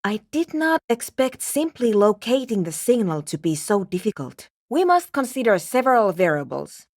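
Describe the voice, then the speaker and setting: An actress playing a cool, scientifically curious young woman programmer in a video game, speaking in a measured, technical, dryly formal way. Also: fairly high pitch, big pitch swings, darkish tone, no echo, clean studio sound. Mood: focused, analytical. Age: young adult. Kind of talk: video game character voice acting.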